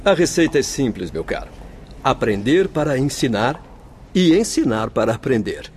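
A man's voice: medium pitch, very animated, normal speed, darkish tone, slight background noise, close to an everyday voice. An actor in a radio drama playing a kindly, humble male doctor, courteous, formal and reassuring.

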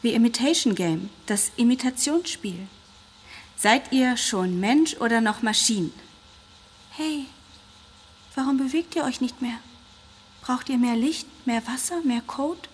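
Musing, higher-pitched woman researcher. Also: lively intonation, measured pace, pauses often, slightly rough. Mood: playful, tender. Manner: musing, tender, talking to herself and pets. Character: absorbed, determined woman researcher doting on her tiny nanite creatures. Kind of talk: radio drama acting.

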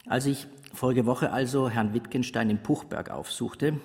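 A male actor as a dutiful, pedantic scholar in a radio play. He speaks precisely and carefully at a middling pitch, formal and meek, in a reverberant hall. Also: moderate pace, darkish tone, natural voice. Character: dutiful, pedantic academic reporting meekly on his master. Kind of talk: German radio drama acting.

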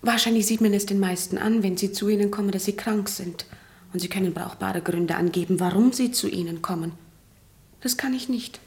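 Woman playing a troubled patient in a radio drama, medium pitch, polite, careful, unsure of herself. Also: a little fast, a little mellow, lightly acted. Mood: hesitant, despairing. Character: earnest, troubled female patient, polite and self-doubting. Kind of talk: German radio play acting.

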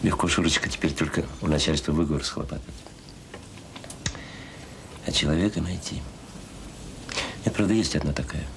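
An actor playing a rueful middle-aged factory manager in a radio drama, talking in a colloquial, hesitant, confiding way. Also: male voice, extremely low pitch, moderate pace, gravelly, long pauses. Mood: rueful, wistful. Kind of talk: radio drama acting, intimate conversation. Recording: hissy old audio play recording.

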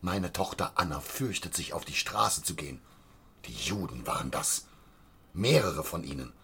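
An actor playing an agitated, bigoted butcher and father in a radio play, with a rough husky voice and urgent, indignant delivery. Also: low voice, expressive, normal speed, fairly bright tone, a little hiss or hum. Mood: agitated, insinuating. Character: agitated, bigoted small-town butcher and father, rabble-rousing.

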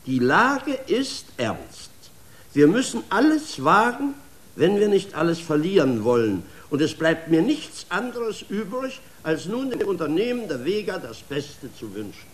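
A man's voice: sits high, very animated, unhurried. An actor playing a grave, formal male leader of a space mission in a radio play. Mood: solemn. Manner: solemn, formal address.

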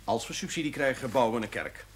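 A hearty chuckling parish priest. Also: male voice, medium pitch, sing-song, fast, gravelly, a little hiss or hum. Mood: warm. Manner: hearty, chuckling, self-mocking. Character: jovial middle-aged male parish priest. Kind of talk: 1970s television drama acting.

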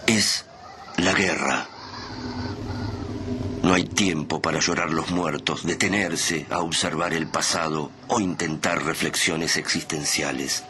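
Deep-voiced man narrating radio drama. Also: fairly even intonation, slow pace, noisy background. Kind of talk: scripted dramatic narration in present tense.

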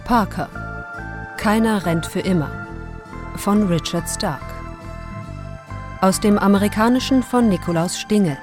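A woman's voice: mid-range voice, unhurried, pauses often, over music. A woman announcing titles and credits from a script for a radio drama.

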